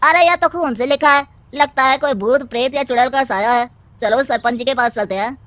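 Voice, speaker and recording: A woman's voice: high voice, fairly quick, no room sound. A woman voicing a simple, anxious village man in a cartoon story, speaking emphatically. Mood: alarmed. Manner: loud, emphatic.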